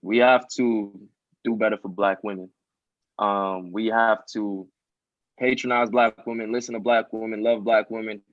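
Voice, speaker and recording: A man's voice: on the low side, fairly even intonation, normal speed, dry recording. A twenty-year-old man speaking earnestly and from the heart as a podcast guest, often adding "you know" and "um". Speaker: podcast guest, student nonprofit founder. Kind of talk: casual conversational interview. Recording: dry, dull lo-fi sound.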